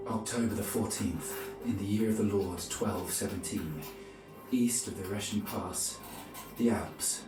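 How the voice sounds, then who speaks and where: A grave, pious knight of the crusades played by a man recounts his journey for a medieval video game. His low voice is formal and measured, with a solemn storyteller's weight. It sounds roomy and set back, with music underneath. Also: far from the mic, close to an everyday voice. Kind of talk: scripted dramatic narration.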